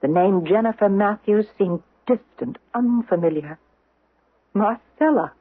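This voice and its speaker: A woman's voice: medium pitch, unhurried, no room sound, close to an everyday voice. A woman playing an earnest, strong-willed woman of ancient times in a theatrical radio drama style. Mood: bewildered. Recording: muffled, band-limited old radio recording.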